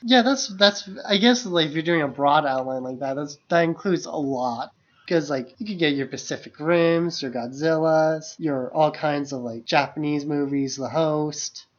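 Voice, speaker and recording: A man's voice: fairly high pitch, normal speed, dull audio. A man co-hosting a podcast, chatting casually about films in loose phrasing peppered with "like". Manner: loose, filler-heavy phrasing with frequent "like". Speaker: podcast co-host. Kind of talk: casual film discussion.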